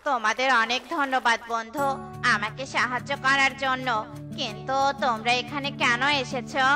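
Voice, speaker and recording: Woman voicing a high-voiced grumpy cartoon crocodile. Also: steady tone, moderate pace, music in the background, natural voice. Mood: grateful. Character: grumpy crocodile suffering from toothache. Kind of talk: children's cartoon dialogue.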